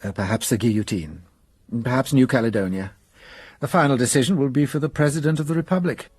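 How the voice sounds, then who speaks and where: An actor playing a shrewd detective in a radio drama, explaining a case in a measured, amused manner. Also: male voice, medium pitch, a little mellow, breathy edge, natural voice. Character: shrewd French police detective, Inspector Hanaud.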